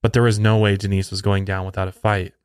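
A man's voice: sits low, level tone, fast talker, smooth. An American man reading scripted true crime narration as a podcast host.

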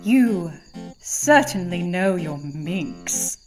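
A woman's voice: lowish voice, lively intonation, drawn-out words, soft background music. An actress playing a fretful, genteel Victorian lady in a comedic audio drama, theatrical and polite. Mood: timid, pleading.

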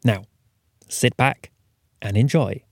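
A man's voice: medium pitch, slow, a little mellow, slightly rough, no room sound, clean studio sound. A man hosting a podcast, reading a scripted announcement. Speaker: podcast host.

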